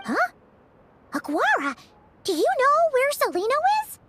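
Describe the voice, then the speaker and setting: A woman voicing a small, caring, excitable companion character in a video game, expressive and full of concern. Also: very high-pitched, vocal fry, background noise, full character voice. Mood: puzzled.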